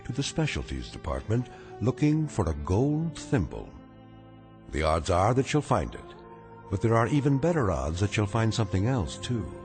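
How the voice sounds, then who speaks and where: Wry, ominous, low-voiced narrator. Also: male voice, very animated, darkish tone, soft background music. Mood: wry, foreboding. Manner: deliberate, dramatic, wry. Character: wry, ominous male storyteller of uncanny tales. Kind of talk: scripted radio drama narration.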